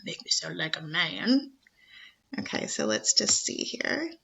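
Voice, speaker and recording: Bright-voiced woman teacher, chatty tutorial. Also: deep voice, very animated, a little slow, vocal fry, muffled recording, slight background noise. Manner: chatty, encouraging. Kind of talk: screen-share tutorial.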